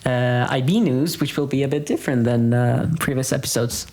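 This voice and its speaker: Young male host, medium pitch, informal, hesitant, faint background noise. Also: fairly quick, darkish tone. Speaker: student podcast host. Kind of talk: casual moderating.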